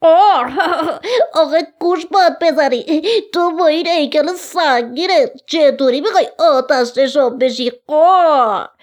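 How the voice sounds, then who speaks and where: A high, swooping crow child from a bedtime story. The little bird is brash and excitable, breaking up its speech with loud caws. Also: female voice. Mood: mocking, brash.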